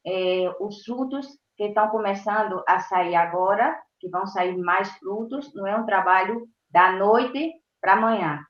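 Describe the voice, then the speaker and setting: Halting Brazilian woman on a roomy, dull call. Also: medium pitch. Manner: halting, searching for words. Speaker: university professor. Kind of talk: webinar talk. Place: video call.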